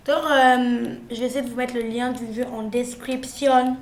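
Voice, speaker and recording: A young woman with a cold, chatting playfully through gameplay commentary, jumping from loud exclamations to quiet mumbles. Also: on the high side, fairly even intonation, normal speed, reverberant. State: has a cold. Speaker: gaming youtuber.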